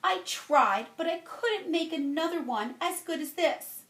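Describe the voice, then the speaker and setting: A woman's voice: very high-pitched, animated, measured pace, fairly bright tone, pitched above the performer's own voice, full character voice. A woman voicing an earnest, easily upset young schoolgirl from a picture book, with emphatic, plaintive delivery. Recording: roomy, echoey home-style recording.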